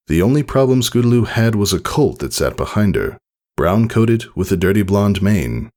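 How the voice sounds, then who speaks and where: A man narrates fiction in a low, gravelly voice. His storytelling is expressive and scripted, with intonation that moves around a great deal. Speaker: narrator reading fan fiction. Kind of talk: scripted audiobook-style narration.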